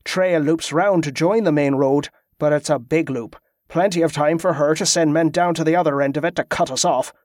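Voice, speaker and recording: A gruff young soldier speaking in short, rustic, clipped phrases, as a male narrator voices him. The voice sits a little high for a man and is recorded close and dry. It plays as audiobook storytelling. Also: normal speed, few pauses, a little mellow, dry recording, lightly acted. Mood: matter-of-fact.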